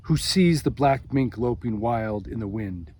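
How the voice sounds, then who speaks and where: A man reading a poem as a poet, in measured, deliberate phrases with pauses between them. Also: mid-range voice, animated, a little mellow. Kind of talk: poetry reading. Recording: clear outdoor background noise.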